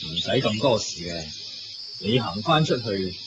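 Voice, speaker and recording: A man's voice: lowish voice, animated, measured pace, very noisy. A man with a Hong Kong Cantonese accent acting a commanding, sly king out hunting in a radio drama. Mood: confident, gloating. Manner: imperious, giving orders. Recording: dull lo-fi radio recording. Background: music.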